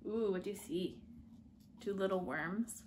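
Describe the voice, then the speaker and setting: A woman in her thirties reads a picture book aloud for children. She speaks softly and without hurry, turning to her listeners with questions and little asides. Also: medium pitch, measured pace, a little hiss or hum. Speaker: read-aloud storyteller for children. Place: living room, indoors. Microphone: camera a few feet away.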